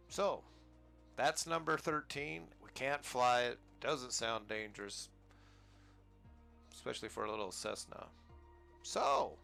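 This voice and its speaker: An American man talks through a flight sim session in a slow, unhurried drawl. His voice is heavily gravelly, yet bright and forceful on top. He rambles easily and laughs at himself, with faint music playing behind him. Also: medium pitch. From United States. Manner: rambling, easygoing, chuckles at himself. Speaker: flight sim gaming channel host. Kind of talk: casual gameplay commentary.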